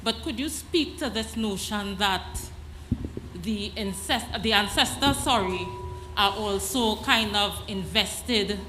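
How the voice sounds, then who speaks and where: Slow, hesitant woman in an echoey hall. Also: mid-range voice, pushed and bright, slight background noise. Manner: hesitant, halting, self-correcting. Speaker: audience member asking a question. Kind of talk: question from the floor. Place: festival venue.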